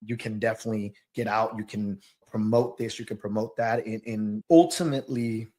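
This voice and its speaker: Podcast guest: man from Mexico, fairly low pitch, reflective storyteller who gropes for words, mildly nervous. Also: steady tone, warm tone. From Oaxaca, southern Mexico. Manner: halting, reflective, searching for words. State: a little nervous. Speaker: guest, youth soccer coach and business owner. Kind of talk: personal storytelling in a podcast interview.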